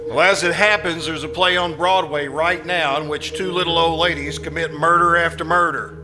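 A man playing an earnest, insistent gentleman in a live old-time radio suspense play, polite and articulate. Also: a little high, fairly even intonation, moderate pace, background noise, natural voice. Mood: explaining. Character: earnest, insistent gentleman reporting a neighbour's crime.

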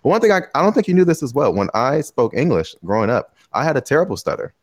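A man's voice: medium pitch, big pitch swings, quick pace, no echo, studio quality. A man hosting a podcast interview, asking long, rambling questions full of "you know" in a casual chat. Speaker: podcast host. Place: lives in Taiwan.

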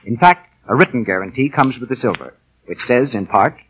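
A man's voice: medium pitch, animated, brisk, a bit bright, highs cut off, slight background noise. A man announcing in a polished, salesmanlike 1940s radio advertising style. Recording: 1940 radio broadcast.

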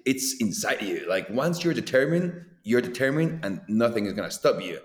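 Colombian man with a low, roomy voice. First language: Spanish. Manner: animated, laughs often, loose filler-heavy delivery. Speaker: former pro downhill mountain bike racer, guest. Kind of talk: casual conversational interview. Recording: roomy echo.

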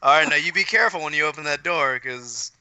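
Male, piercingly bright and slightly high, dry close sound, deadpan casual banter. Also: fast talker, clean tone, no echo, low-quality recording. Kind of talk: casual chat.

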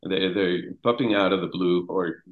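A young man from Mexico talking casually as a podcast guest, halting and repeating words, trailing off quietly. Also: low voice, fairly even intonation, phone-quality sound. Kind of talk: casual conversation.

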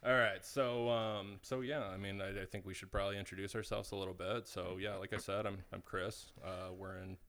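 A man in his thirties with a deep voice, co-hosting a casual podcast in a relaxed, joking way with lots of fillers. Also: fairly quick, few pauses. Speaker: podcast co-host. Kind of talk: casual chat.

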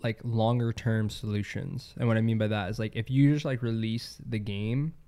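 A man's voice: on the low side, fairly even intonation, moderate pace, warm tone, clear, resonant tone. A man co-hosting a podcast, nasal from a cold, rambling casually with many fillers. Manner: rambling, lots of "like". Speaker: podcast co-host, esports player. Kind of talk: casual chat.

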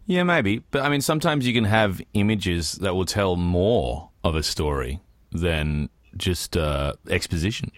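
A man's voice: low-pitched, animated, measured pace, dry recording, clean studio sound. A man co-hosting a podcast in casual, discursive chat, often checking in with the listener as he explains his ideas. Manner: discursive, peppered with "you know". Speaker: podcast co-host. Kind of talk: casual opinionated arts discussion.

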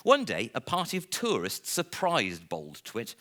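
A man reading a comic children's story aloud to listeners in a theatrical, playful way, with dramatic pauses. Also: medium pitch, huge pitch swings, fairly bright tone. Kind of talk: expressive live storybook reading.

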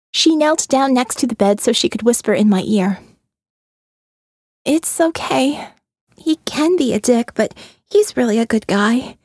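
A woman narrating a first-person audio novel in a measured, intimate way. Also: a little high, darkish tone, no room sound, studio quality.

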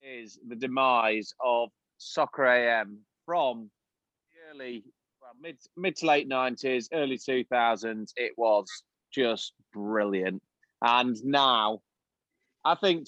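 A man's voice: medium pitch, fairly bright tone, dry recording, muffled recording. A man co-hosting a podcast, telling a long rambling story with lots of ums and uhs. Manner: rambling anecdote-telling with many fillers. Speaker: podcast co-host. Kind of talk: casual chat.

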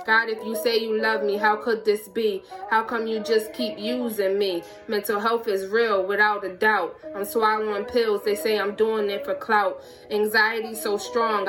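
A woman's voice: medium pitch, fairly bright tone. A woman performing a spoken word poem as a poet. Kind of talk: spoken word poetry recital.

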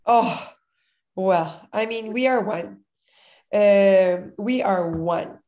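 A woman's voice: medium pitch, extremely slow, warm tone. A woman in her thirties co-hosting a podcast, chatty and laughing often, a little nasal and sick. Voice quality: slightly nasal. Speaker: podcast co-host. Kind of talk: casual podcast chat.

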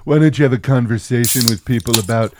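A man's voice: mid-range voice, quick pace, background noise. An American man co-hosting a podcast, chatting casually with a sarcastic, ranting, sweary manner. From Texas, United States. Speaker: podcast co-host. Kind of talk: casual opinionated chat.

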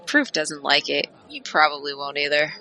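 A woman's voice: deep voice, fairly even intonation, moderate pace, pushed and bright, rough recording. A woman playing a cheeky, sweet-talking streetwise crew member with a teasing, coaxing manner in an audio drama.

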